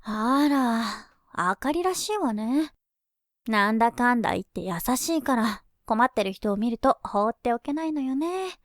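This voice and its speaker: Woman as high-pitched, lively teenage idol schoolgirl. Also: unhurried, dry recording, studio quality. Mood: teasing, friendly. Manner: feminine, poised, coaxing speech. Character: confident, teasing teenage schoolgirl idol, persuasive and caring. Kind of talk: Japanese anime-style voice drama acting. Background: light tinkling children's music.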